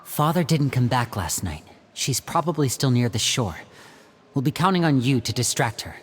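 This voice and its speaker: A man playing a composed, loyal young operative in a video game, measured and earnest as he leads. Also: mid-range voice, very animated, big-room sound, slight background noise, lightly acted. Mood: commanding. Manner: measured, explanatory, firm. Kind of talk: video game character dialogue.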